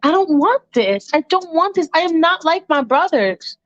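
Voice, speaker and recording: A woman voicing a shy, flustered, pious young man who pleads and protests gently in a storytelling session. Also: very high voice, big pitch swings, moderate pace, no echo, lo-fi sound, pitched above the performer's own voice. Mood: alarmed, prim.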